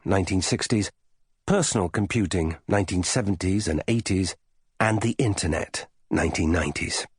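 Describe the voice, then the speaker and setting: A man reads non-fiction as a scripted audiobook narrator. His voice sits fairly low with a husky grain. The delivery is measured and formal, each phrase carefully set out, in a very dry, close recording. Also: no room sound.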